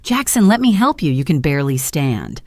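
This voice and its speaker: A desperate woman in a space story, voiced by a male narrator, pitched far above the storyteller. Her urgent, tearful pleading swings widely in pitch, close and dry. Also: big pitch swings, normal speed, darkish tone, no echo. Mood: anxious concern.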